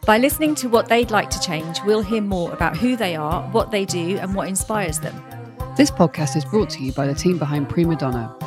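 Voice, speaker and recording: A woman host reading a scripted podcast introduction. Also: on the low side. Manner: read-out, warm welcome script. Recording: music bed under voice.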